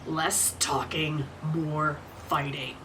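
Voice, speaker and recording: Woman doing a lowish, sarcastic scrapper itching for a fight, bit far from the mic. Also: little pitch movement, very slow, background noise. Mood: sardonic. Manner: blunt, sardonic, impatient. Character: blunt, fight-hungry woman fighter with guns and magic. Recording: a little off-mic.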